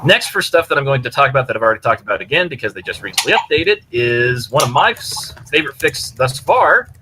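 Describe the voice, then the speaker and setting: Bright-voiced man over noisy voice chat. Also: mid-range voice, very animated, fast. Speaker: podcast co-host reviewing fan fiction. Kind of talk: casual review chat with story summaries. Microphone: computer mic on online voice chat. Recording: clear background noise, push-to-talk online voice chat.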